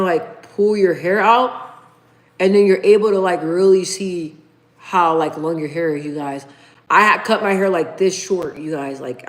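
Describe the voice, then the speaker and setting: A woman in her thirties hosting a casual livestream, chatting informally with viewers and reading their comments aloud. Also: on the low side. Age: 30s. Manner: chatty and informal, addresses viewers as "you guys". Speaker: livestream host.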